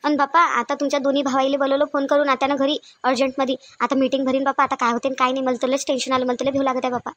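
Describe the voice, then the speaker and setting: A woman's voice: high-pitched, level tone, rapid-fire, hardly any pauses, a bit bright. A woman playing an anxious sister-in-law in a family drama, urgent and wordy, with a Varhadi Marathi accent. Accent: Varhadi Marathi of Vidarbha. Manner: fretful, urgent, explaining at length. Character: anxious, gossipy young sister-in-law fretting over family. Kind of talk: amateur family comedy-drama dialogue.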